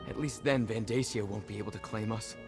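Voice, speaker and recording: A man's voice: on the low side, fairly quick, music in the background, close to an everyday voice. A man playing an anxious young man burdened by dangerous magic in a fantasy game, speaking in an earnest, emotional way. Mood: despairing. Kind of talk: dramatic fantasy game acting.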